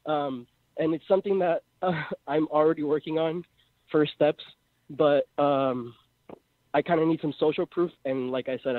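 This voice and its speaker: A young man with a Mexican accent talks over a tinny phone line. His voice sits a little high and sounds dry. He rambles and hesitates, with fillers everywhere. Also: no echo. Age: 20s. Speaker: caller. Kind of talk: phone-in call to a live show.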